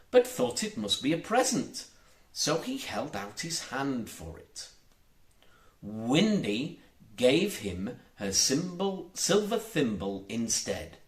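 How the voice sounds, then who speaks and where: A man's voice: mid-range voice, reverberant. A man reading a children's storybook aloud as an audiobook narrator, expressively emphasizing his words. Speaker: audiobook reader.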